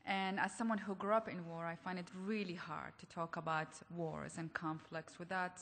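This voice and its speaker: A woman from Iraq addresses a conference panel with an earnest, personal tone. Her slightly low voice rings out across a large reverberant hall. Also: fairly even intonation, moderate pace, a bit bright. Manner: earnest, personal, rhetorical questions.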